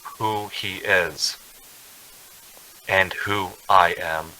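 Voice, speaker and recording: An actor playing a distraught, haunted traveler in a radio drama, speaking dramatically and stammering when shaken. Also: male voice, deep voice, fairly even intonation, drawn-out words, bright, forceful tone, slight background noise, exaggerated voice, low-quality recording. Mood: haunted, desperate. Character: distraught, haunted male traveler on the edge of panic. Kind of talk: old-time radio drama acting.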